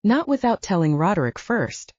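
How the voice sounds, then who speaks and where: A woman's voice: mid-range voice, very animated, normal speed, a little mellow, no echo, dull audio. A woman voicing a blunt, stubborn heroine in a paranormal romance audiobook, defiant and resolute.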